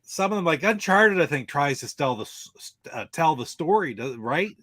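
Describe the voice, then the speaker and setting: Male panelist, slightly high voice, halting casual talk, dry sound. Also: big pitch swings, fairly bright tone, no echo. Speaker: panelist on a gaming live stream.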